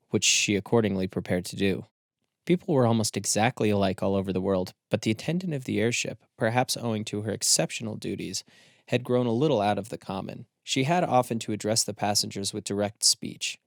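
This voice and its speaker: A man narrating a literary story as an audiobook, in a measured, formal storytelling manner. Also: on the low side, no room sound, clean studio sound.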